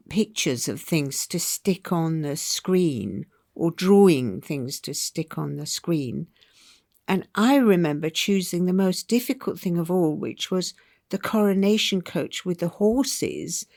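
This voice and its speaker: An older woman from Iran, a writer telling her life story in a thoughtful, unhurried way with soft pauses. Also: fairly low pitch, no room sound, studio quality. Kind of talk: reflective personal storytelling.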